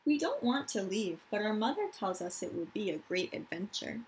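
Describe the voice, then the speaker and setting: A woman's voice: mid-range voice, big pitch swings, normal speed, darkish tone, slight background noise, poor audio. A woman, a teacher of young children reading aloud and explaining things simply, stressing key words. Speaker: elementary school teacher.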